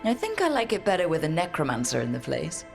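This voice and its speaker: A woman playing a confident young team leader in a video game, calm and wry, with a steady delivery. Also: deep voice, fast, vocal fry, soft background music, heavily acted. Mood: warm, wry. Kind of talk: dramatic video game acting.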